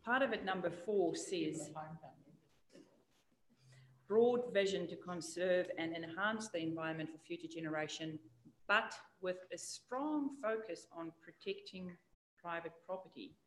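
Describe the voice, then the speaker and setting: A woman's voice: lowish voice, measured pace, reverberant, rough recording. A woman with a New Zealand accent, a councillor raising concerns in a meeting, forthright.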